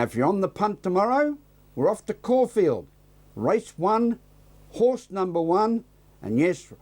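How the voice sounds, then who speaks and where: A man's voice: a little high, a little mellow. A man with an Australian accent presenting local television news and sport, reading bulletins and putting questions to guests.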